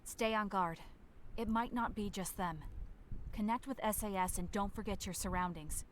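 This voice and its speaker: A woman playing a reserved, caring young female fighter in a video game, speaking in a measured, matter-of-fact way. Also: medium pitch, slight background noise, lightly acted. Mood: focused. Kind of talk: English video game cutscene voice acting. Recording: game audio with background music.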